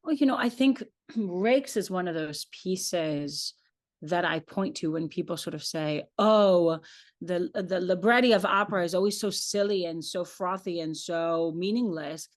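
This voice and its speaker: A woman's voice: fairly low pitch, a little slow. Hebrew-native woman, chatty opera director. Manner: thoughtful, chatty, uses "like" and "um" often. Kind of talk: conversational online lecture.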